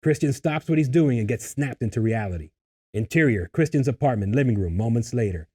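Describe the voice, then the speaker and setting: A man reading screenplay stage directions in a husky voice, as scripted narration at a table read. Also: medium pitch, lively intonation, a little fast, warm tone.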